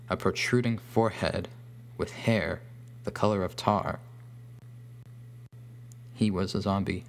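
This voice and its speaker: Low gravelly man narrating horror. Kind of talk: scripted first-person horror story narration. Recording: faint hum behind voice.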